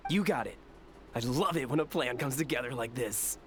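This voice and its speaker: A man's voice: medium pitch, normal speed, faint music behind, close to an everyday voice. An actor playing a casual, wry, self-assured male officer in a video game. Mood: relaxed, pleased. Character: easygoing male officer who enjoys playing tough villains. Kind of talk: scripted video game voice acting.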